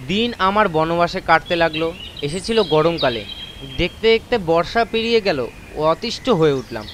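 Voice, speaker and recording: A man's voice: on the high side, animated, moderate pace, fairly bright tone, a little hiss or hum. A man narrating a first-person horror story in a dramatised audiobook style. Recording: constant nature ambience effects behind voice.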